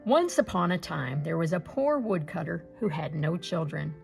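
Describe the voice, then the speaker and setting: A woman reading a children's fairy tale aloud in a gentle storybook manner. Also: low voice, animated, moderate pace, creaky, soft background music.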